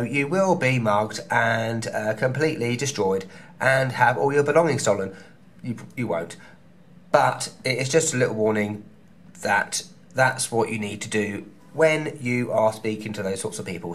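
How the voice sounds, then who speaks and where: A man's voice: mid-range voice, a bit bright. A man in his thirties with a London accent, chatting cheekily through a travel advice vlog from a small hotel room, camera held close. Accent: London English. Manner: chatty and cheeky, quick asides. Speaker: travel vlogger. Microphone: camera held close at arm's length.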